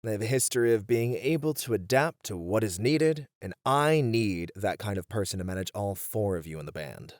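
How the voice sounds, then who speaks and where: A man acts a band's long-suffering manager in an audio roleplay. His voice is fairly low, with a dry, close sound. He scolds with weary exasperation and keeps things practical and responsible. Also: lively intonation, moderate pace, hardly any pauses, a little mellow, dry recording, close to an everyday voice. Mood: matter-of-fact.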